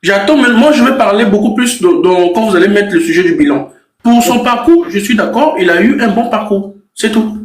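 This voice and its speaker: A man's voice: high voice, lively intonation, brisk. A man on an online panel making his point insistently and repeating it. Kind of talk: online debate. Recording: echoey room.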